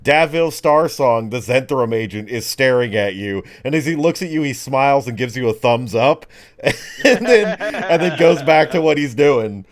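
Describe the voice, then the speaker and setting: A man's voice: mid-range voice, lively intonation, moderate pace. A man narrating a tabletop game as game master in a casual, rambling, improvised way.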